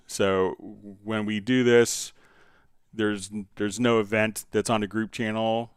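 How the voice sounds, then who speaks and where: A man hosting a casual live software tutorial, answering viewer questions in a conversational, step-by-step way. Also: fairly low pitch, smooth, dry recording, studio quality. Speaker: livestream host and software instructor.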